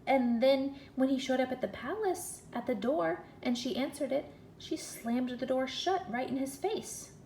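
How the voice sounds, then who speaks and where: A woman teacher reading a children's story aloud in an expressive, deliberate, questioning way. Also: high voice, vocal fry. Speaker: first-grade teacher. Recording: echoey room with faint noise.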